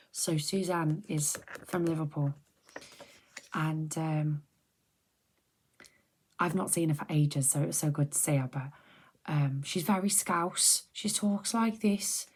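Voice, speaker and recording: A middle-aged woman, chatting softly and rambling on a close mic for an ASMR video, often slipping into whispers. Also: deep voice, gravelly, a little hiss or hum. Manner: soft-spoken, drifting into whispers, chatty and rambling. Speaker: ASMR creator. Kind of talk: soft-spoken ASMR chat.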